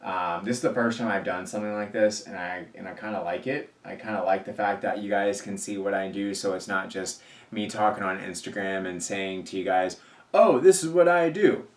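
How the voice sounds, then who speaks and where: A man's voice: lowish voice, fairly quick. A man in his thirties vlogging casually, rambling with many fillers and restarts. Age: 30s. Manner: rambling, filler-heavy, repeats himself. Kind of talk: casual talk to camera. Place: indoors at home work space. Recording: roomy echo, faint music behind.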